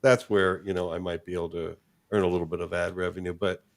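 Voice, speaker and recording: A man's voice: low-pitched, level tone, quick pace, gravelly. A man hosting a show in a casual, informal chat, chuckling between remarks. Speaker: show host.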